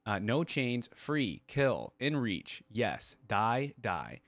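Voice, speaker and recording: A man reading the thoughts of a mad, bloodthirsty undead warrior in terse, halting fragments, as in a story. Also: mid-range voice, sing-song, fairly bright tone, no echo, exaggerated voice. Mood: bloodthirsty craving.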